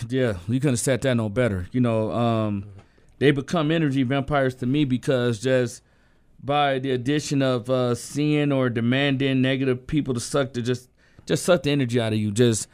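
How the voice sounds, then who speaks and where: Hoarse laid-back man. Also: medium pitch, steady tone, normal speed, a little mellow. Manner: laid-back, loose and repetitive, lots of fillers. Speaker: co-host. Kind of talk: casual podcast chat.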